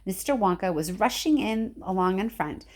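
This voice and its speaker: A woman's voice: medium pitch, animated, normal speed, a little mellow. A woman reading a children's book aloud and chatting informally and in a friendly way with her young audience. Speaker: reader addressing children. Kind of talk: read-aloud storytelling with casual chat. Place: at home. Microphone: cell phone camera.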